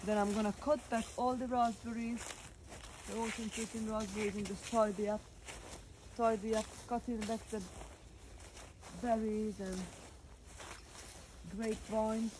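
A woman's voice: fairly high pitch, little pitch movement, a little slow, pauses often, background noise. Chatty woman touring her garden outdoors. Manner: chatty, pointing things out as she walks. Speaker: garden vlogger. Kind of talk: casual garden tour walkthrough.

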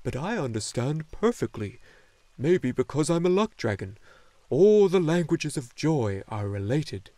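A man voicing a wise, joyful luck dragon in a storybook, speaking slowly and solemnly with great deliberation. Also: on the high side, sing-song, dark tone, dry recording, voice pushed up. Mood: delighted wonder. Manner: slow, solemn, deliberate delivery.

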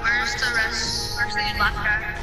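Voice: medium pitch, completely flat delivery, slow. A gamer in casual chat, talking through their own play and gear. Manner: chatty, narrates own play. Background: loud game music.

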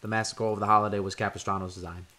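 An actor playing a confident federal agent in an old time radio drama, brisk and authoritative. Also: male voice, fairly low pitch, little pitch movement, sharp tone. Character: confident male federal agent leading a task force. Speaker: Agent Jack Brewster.

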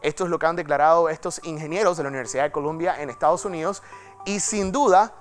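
A man's voice: a little high, fast, faint music behind. A man presenting a technology segment in a TV news studio, talking in a chatty, dramatic way with fillers. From Panama. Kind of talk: studio tech segment, conversational.

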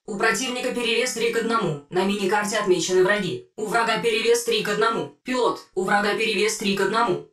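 A woman's voice: medium pitch, fairly bright tone, distant mic, natural voice. Actress as female combat robot AI, formal, clipped, video game announcements, echoing room, faint music behind. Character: composed female battle-robot AI advising her pilot.

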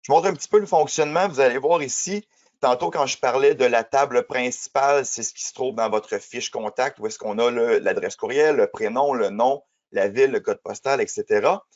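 A man's voice: mid-range voice, fairly quick, a little mellow. Man, 30s, has a cold, patient trainer explaining in a webinar, dull lo-fi audio. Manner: explanatory, many fillers. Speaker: software trainer. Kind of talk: webinar presentation.

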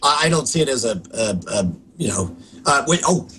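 A man in his thirties with a hoarse voice, co-hosting a casual podcast over a video call and telling stories in an animated, restarting way. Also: mid-range voice. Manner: animated, quick storytelling with restarts. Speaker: co-host. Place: home studio room.

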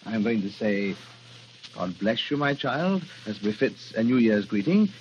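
A smug, swooping 1940s radio villain. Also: male voice, lowish voice, lively intonation, measured pace, a little mellow. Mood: smugly amused. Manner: mock-polite, taunting. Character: smug, menacingly genial wealthy man hiding a secret. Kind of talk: 1940s radio thriller acting. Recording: muffled, noisy 1940s radio recording.

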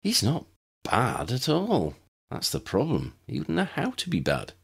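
A man's voice: medium pitch, huge pitch swings, a little slow, vocal fry, slightly rough, voice pushed up, exaggerated voice. A man voicing an exasperated, teasing but friendly teenage girl prefect in an audiobook. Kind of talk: audiobook character voice.

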